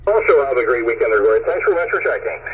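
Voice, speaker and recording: A man's voice: medium pitch, lively intonation, very fast, over a noisy crowd. A man speaking over shortwave radio in clipped, procedural phrases, formally working through call signs. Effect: radio transmission. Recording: dull shortwave radio, heavy static.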